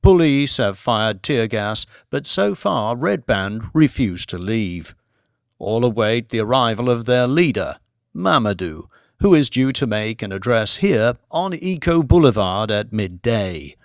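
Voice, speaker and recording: A man's voice: medium pitch, expressive, measured pace, no echo, studio quality. A man voicing a male TV correspondent giving a formal, urgent live news report in an audiobook. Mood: grave, formal.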